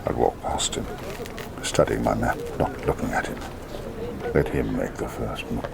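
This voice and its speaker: A wry English reluctant spy. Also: male voice, rumbling low, moderate pace, vocal fry, heavy background noise, full character voice. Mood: muttering. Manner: wry, dry, sardonic.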